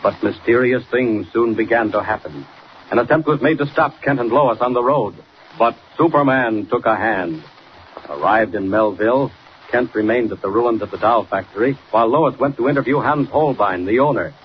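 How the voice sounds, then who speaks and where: A man's voice: medium pitch, normal speed. A man narrating a radio serial as its announcer, in a dramatic 1940s scripted style. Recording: muffled, noisy 1940 radio recording.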